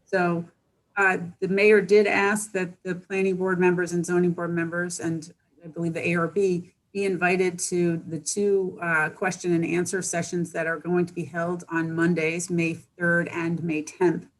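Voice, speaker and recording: A woman giving an informal planning update to a board on a video call, halting and matter-of-fact. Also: on the low side, flat delivery, low-quality recording. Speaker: village planner briefing a board. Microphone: video call microphone.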